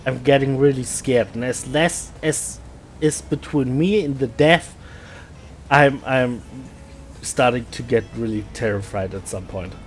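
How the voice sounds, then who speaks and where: A man from Germany with a German accent, chatting casually while playing a game, often repeating words and pausing with fillers. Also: medium pitch, a little hiss or hum. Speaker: gaming streamer. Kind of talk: casual gameplay chat.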